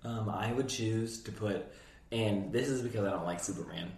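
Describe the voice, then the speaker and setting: A young man, a podcast co-host and worship leader, a little hoarse and raspy, chatting in a laid-back way and laughing often. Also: fairly low pitch, moderate pace, a little mellow. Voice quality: slightly raspy. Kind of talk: casual chat. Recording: roomy echo.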